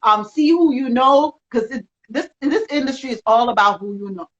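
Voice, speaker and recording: A woman giving energetic, rambling encouragement in a casual live video chat, speaking as a magazine representative. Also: a little high, normal speed. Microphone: video call. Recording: dull lo-fi sound.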